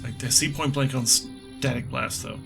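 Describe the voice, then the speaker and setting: A man's voice: mid-range voice, steady tone, moderate pace, vocal fry, music in the background. A man giving casual, jokey let's play commentary, thinking aloud as he reads game dialogue. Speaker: gaming video host.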